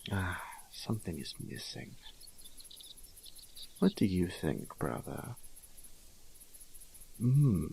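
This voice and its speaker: A man's voice: deep voice, vocal fry, a little mellow, husky, exaggerated voice, poor audio. A man playing a dapper, fussy, teasing young nobleman in an audio drama, speaking in an airy, flowery, mannered way. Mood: playful, appraising.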